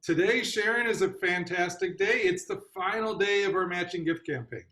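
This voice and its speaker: An upbeat man hosts a fundraising stream in a chatty, loose way, pitched a little high for a man. His voice bounces around a roomy, echoey space. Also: moderate pace, pushed and bright. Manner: upbeat, chatty, with fillers and restarts. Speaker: host. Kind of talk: livestream fundraising host.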